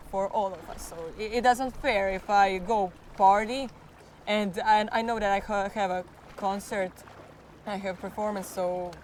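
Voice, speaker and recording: A teenage Croatian girl speaks hesitant English with a Croatian accent, pausing to hunt for words and sometimes slipping into her native language. Her voice is a touch hoarse and mid-pitched. A faint hiss of noise sits behind her. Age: teens. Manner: halting, searching for English words. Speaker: klapa singer. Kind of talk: interview answers.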